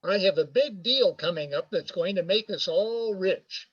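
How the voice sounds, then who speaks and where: A man's voice: sits high, moderate pace, a bit bright, breathy edge. A man acting a brash, teasing, jovial businessman in an amateur radio play, heard over a video call. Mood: boastful. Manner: hearty, teasing, boastful. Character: brash, jovial male businessman, George's old pal. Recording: muffled video call audio.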